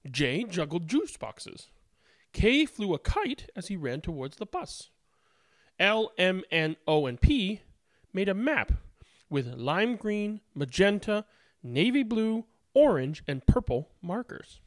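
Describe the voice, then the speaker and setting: A storybook reader telling a children's picture book in an expressive, deliberate way with emphatic word stress. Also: medium pitch, dry recording, studio quality.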